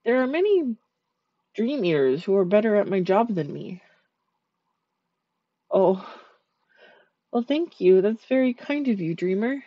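Soft-spoken dream eater spirit, voiced by a woman. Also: mid-range voice, big pitch swings, measured pace, pauses often, no echo, highs cut off. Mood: gentle, soothing. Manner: soft, gentle, soothing. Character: sweet shy female dream eater spirit. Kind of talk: ASMR sleep-aid roleplay.

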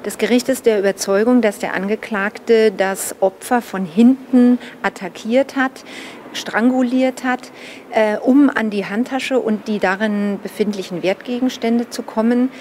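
Woman over clear background noise. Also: on the high side, fairly even intonation, measured pace, a little mellow. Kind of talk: formal summary of a verdict. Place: large courthouse hall. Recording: clearly noisy public building.

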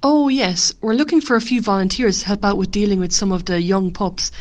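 A woman's voice: mid-range voice, fairly quick, a little mellow, slight background noise, natural voice. A woman playing a polite, earnest Irish parishioner in an amateur radio drama.

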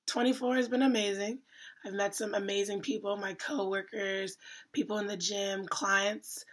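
Chatty young woman with a breathy voice. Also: medium pitch. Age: 20s. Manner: chatty, lively, acts out her own past remarks. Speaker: fitness vlogger. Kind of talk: casual to-camera story. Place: small indoor room at home, curtains behind.

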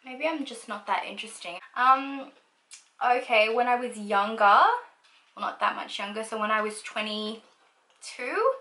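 A woman's voice: on the high side, fairly even intonation, unhurried, bright, forceful tone, echoey room, rough recording. A woman in her twenties, from Australia, chatting casually about herself while doing her makeup, full of likes and ums. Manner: chatty, lots of likes and ums, laughs easily; talks while applying makeup. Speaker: beauty vlogger. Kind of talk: casual get-ready-with-me chat. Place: small indoor room at home.

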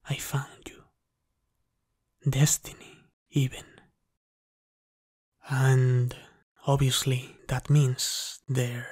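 A man's voice: medium pitch, a little mellow, dry recording, studio quality. A man playing a possessive, dominant vampire captor in an ASMR roleplay, speaking in a menacing, condescending half-whisper. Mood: intense, hushed. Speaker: ASMR voice actor.

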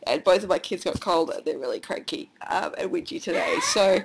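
A woman's voice: fairly low pitch, a little fast, creaky, a little hiss or hum. Australian woman, sick with a cold and losing her voice; chatty, rambling, filler-heavy home walkthrough. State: has a cold, nearly lost voice. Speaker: online course creator. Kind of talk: casual screen-share walkthrough. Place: at home with children nearby.

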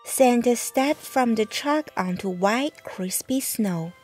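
A woman reading a rhyming children's bedtime story aloud with deliberate, expressive phrasing. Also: a little high, husky, faint music behind. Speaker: mother reading a picture book aloud.

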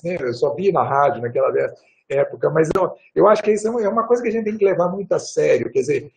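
A man's voice: high-pitched, creaky, a little mellow, low-quality recording. An older man giving a talk over a video call, rambling with frequent tag questions and restarts. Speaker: guest lecturer. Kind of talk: relaxed lecture answering questions. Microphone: video call webcam.

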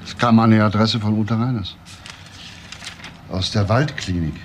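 A man playing a courteous, probing homicide detective in a crime drama, speaking in a measured, polite way. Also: lowish voice, a little hiss or hum, close to an everyday voice. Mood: businesslike. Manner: measured, polite, hesitant at times. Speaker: actor. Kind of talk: German TV crime drama dialogue.

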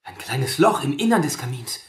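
An actor playing a secretive young scholar in a radio drama, reverently obsessed with his research, with a halting, hushed way of reading aloud. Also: mid-range voice, very animated, natural voice. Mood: hushed wonder. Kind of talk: German radio drama acting. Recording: roomy echo, faint noise, clicks.

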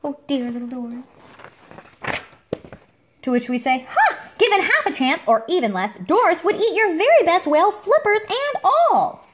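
A woman reading a picture book aloud to a child at home, expressively, with theatrical emphasis and dramatic pauses. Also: very high-pitched, big pitch swings. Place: indoor room at home. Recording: home recording with clear background noise, clicks.

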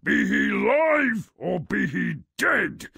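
Slow, gravelly man-eating giant. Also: big pitch swings, bright, forceful tone, no echo, clean studio sound, heavily acted. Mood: menacing, bellowing. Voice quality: gravelly, raspy. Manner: booming, chanting threats. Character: huge menacing man-eating giant husband. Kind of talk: video game character acting.

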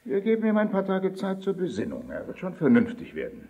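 A man's voice: high voice, huge pitch swings, fairly quick, dark tone, full character voice. A man playing a menacing, mock-polite mafia boss in a German audio drama. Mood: smug threat. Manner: mock-polite, smug, threatening. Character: menacing, mocking male mafia boss extorting a restaurateur.

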